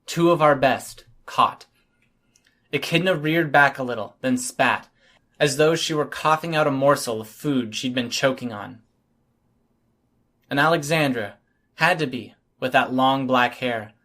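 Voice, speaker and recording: A man's voice: mid-range voice, a little slow, fairly bright tone. A man reading scripted first-person fiction as an audiobook narrator.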